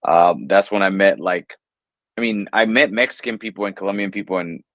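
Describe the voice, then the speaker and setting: New york guy in his 30s, kinda low voice, super casual, rambles with lots of likes, dry close mic. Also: a little fast, no room sound, lo-fi sound. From New York, USA. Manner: relaxed, rambling, lots of "like" and "you know". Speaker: English teacher, guest. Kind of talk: casual interview chat.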